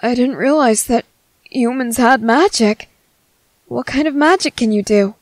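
A woman voicing a gentle young merman in an ASMR roleplay, hesitant, soft-spoken, earnest and apologetic. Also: a little high, unhurried, dry recording, lightly acted. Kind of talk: ASMR roleplay, scripted character acting.

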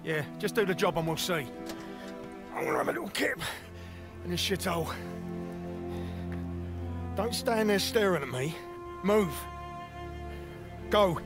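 An actor playing a tough, foul-mouthed middle-aged British soldier with a gruff, blunt London delivery in a cinematic video game. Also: male voice, sits high, unhurried, long pauses. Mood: gruff, weary. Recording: loud music under the dialogue.

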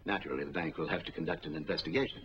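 An actor playing a middle-aged, skeptical bank officer, formal and probing, in a 1940s film drama. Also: male voice, a bass voice, racing. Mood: formal, dry. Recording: noisy, dull 1940s film soundtrack.

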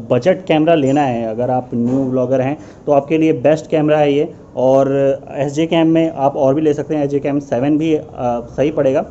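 A man's voice: a little high, dark tone. A young man chatting casually to viewers as he sets up a helmet camera and rides a motorcycle through town. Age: young adult. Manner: chatty, addresses viewers directly. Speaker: motovlogger. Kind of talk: casual vlog narration. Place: indoors at home, then riding a motorcycle on city streets. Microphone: action camera mounted on helmet. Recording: lo-fi camera audio with traffic noise.

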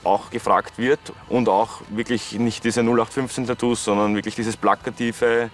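A man's voice: lowish voice, level tone, normal speed. A man talks confidently and boastfully in a casual interview. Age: 20s. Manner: self-assured, boastful. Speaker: contestant.

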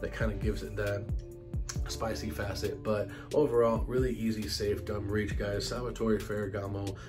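A man's voice: on the low side, level tone, moderate pace. A man hosting a fragrance vlog, talking casually with a stuffy, congested nasal voice from a cold. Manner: casual and chatty, many fillers, apologetic. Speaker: fragrance channel host. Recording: music playing clearly behind.

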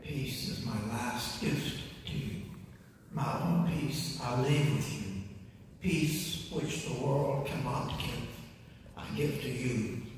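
An older man, a priest, slowly intoning a liturgical anthem in a small chapel. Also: medium pitch, darkish tone, slight background noise. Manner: slow, intoned anthem singing. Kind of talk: liturgical chant. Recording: distant mic, echoey hall.

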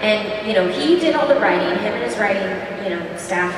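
A woman on a convention panel stage, telling candid, animated stories into a handheld mic. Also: mid-range voice, a little fast, a little hiss or hum. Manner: animated, candid anecdotes with self-deprecating laughs. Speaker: panel guest, voice actor. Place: large convention hall stage. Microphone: handheld microphone. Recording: echoey hall, distant mic, crowd.

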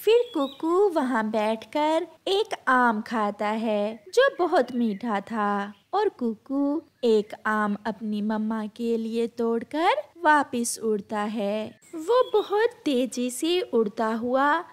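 A woman reads a children's story as its narrator. Her voice sits high, and she delivers the scripted lines very slowly. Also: animated.

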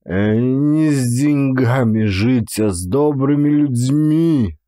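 A man voicing a drunken, self-pitying young Cossack strongman in an audiobook, with slow, drawn-out muttering. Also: medium pitch, warm tone, no echo, studio quality, pitched above the performer's own voice, natural voice. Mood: anguished self-pity.

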